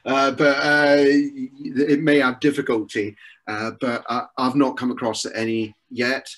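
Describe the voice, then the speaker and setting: A man with a Scottish accent answering questions in an informal technical talk, explaining things haltingly with many pauses. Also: mid-range voice, level tone, fairly bright tone. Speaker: consultant presenting and answering questions.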